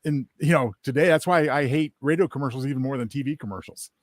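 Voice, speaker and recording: A man speaks as a panelist in casual conversation. His voice sits at an average pitch, and he phrases things carefully and hesitantly. The recording is dry. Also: fast, no room sound. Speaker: panelist on a listening show. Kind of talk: casual chat.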